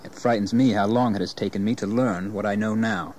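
An actor playing an ambitious, proud young English gentleman settler in a historical audio drama. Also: fairly low pitch, steady tone, fairly quick, natural voice. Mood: resolute, proud. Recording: noisy 1980s audio drama tape.

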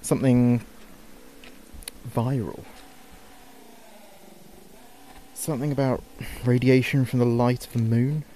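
A man acting as a radio DJ in an audio drama, reporting a disaster live in a halting, anxious, fearful way. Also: mid-range voice, steady tone, dark tone, slight background noise, lightly acted, poor audio. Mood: uneasy, explaining.

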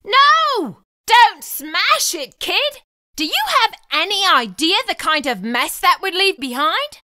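A dramatic, fussy young male frog who frets over every mess, as a woman puts him on. The voice sits very high and swings widely, with a bright, sharp edge and slow, drawn-out delivery. It is recorded dry and close, with no room sound. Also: very animated, no room sound, full character voice. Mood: frantic. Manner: dramatic, fretful, exclaiming. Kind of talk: storybook read-aloud acting.

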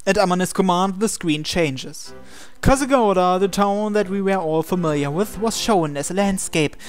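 A man's voice: sits high, moderate pace, clean tone, no echo. Man reading a story aloud over music. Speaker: gaming video host reading subtitles aloud. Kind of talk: reading visual novel text aloud. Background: game music.

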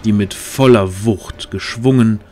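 A man's voice: fairly low pitch, unhurried, a little mellow, a little hiss or hum. A man as narrator, reading a scripted fantasy story in a dramatic storytelling style. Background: background music.

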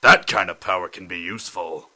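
A man voicing a flamboyant, gloating alien villain from a parody anime, theatrical and drawn-out, as game voice lines. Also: sits low, very noisy, lightly acted. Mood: theatrical, smug.

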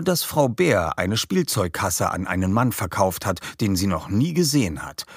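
A man's voice: low voice, breathy edge, dry recording, studio quality, full character voice. A man narrating a children's detective audio play as a scripted storyteller.

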